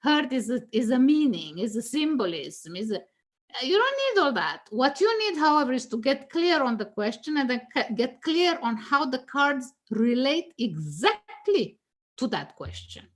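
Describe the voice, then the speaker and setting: A woman's voice: high voice, sing-song, moderate pace. Laughing woman, Danish native speaker. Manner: laughs often, many fillers and restarts. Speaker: guest tarot reader. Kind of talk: casual teaching chat.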